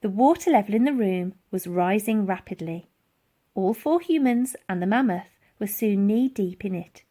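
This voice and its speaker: A woman's voice: medium pitch, normal speed. A woman reading a children's book aloud as dramatic, theatrical narration. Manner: expressive, theatrical. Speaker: storybook reader.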